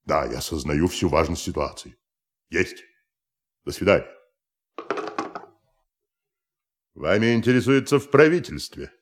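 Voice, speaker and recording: An actor in a radio play playing a stern, suspicious army general with a clipped, officious, interrogating manner. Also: male voice, very deep voice, a little mellow, exaggerated voice. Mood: obedient, official. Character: stern, suspicious male army general guarding his country.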